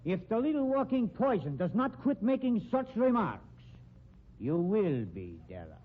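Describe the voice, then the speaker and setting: Indignant male sword swallower, high swooping voice, blustering, old radio play. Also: sing-song, a little slow. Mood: menacing, defensive. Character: excitable, proud, jealous male circus sword swallower. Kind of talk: 1950s radio drama acting. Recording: tinny, hissy old radio recording.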